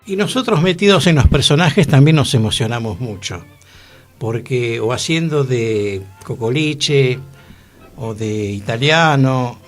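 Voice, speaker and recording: A man with a middle-pitched voice speaks eagerly on a studio radio program. His tone is earnest and full of enthusiasm. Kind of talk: radio discussion.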